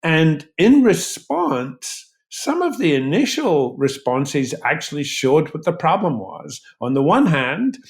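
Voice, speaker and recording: A man speaking as an expert interview guest, explaining in emphatic, articulate, measured phrases. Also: fairly high pitch, sing-song. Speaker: physician researcher, interview guest.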